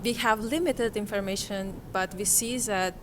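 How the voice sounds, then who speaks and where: A woman's voice: medium pitch, moderate pace, noisy background. A woman from Kazakhstan, giving reflective interview answers in a hesitant way, often searching for words. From Kazakhstan, Central Asia. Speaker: interviewee, conference participant.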